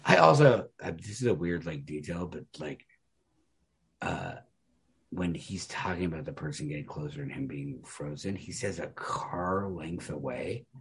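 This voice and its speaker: A man's voice: rumbling low, big pitch swings, a little slow, creaky, breathy edge. A man co-hosting a podcast, talking through a story casually and analytically in a rambling, emphatic way, full of "like". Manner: rambling, emphatic, peppers talk with "like". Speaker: podcast co-host.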